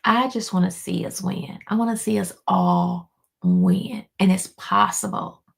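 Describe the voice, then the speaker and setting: A hoarse woman, a life coach, giving an encouraging motivational talk to her viewers, repeating key lines for emphasis and calling them y'all. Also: mid-range voice, fairly even intonation, unhurried. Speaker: life coach hosting a weekly motivational show. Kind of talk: motivational pep talk to camera.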